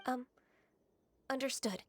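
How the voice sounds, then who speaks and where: A woman voicing a gentle, earnest half-human immortal in training in a video game, polite and measured with a hesitant edge. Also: high voice, soft background music. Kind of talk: English video game voice acting.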